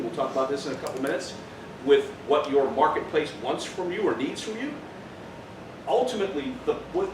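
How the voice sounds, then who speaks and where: A man giving a seminar as a business trainer, explaining emphatically and posing rhetorical questions. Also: fairly high pitch. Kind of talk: live seminar presentation. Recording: roomy, distant mic, clearly noisy.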